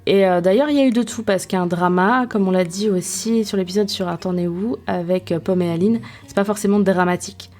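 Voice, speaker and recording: A woman's voice: medium pitch, a little fast, few pauses, a little mellow. A young woman hosting a podcast, reviewing a series in a casual, self-mocking way with many fillers and restarts. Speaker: podcast host.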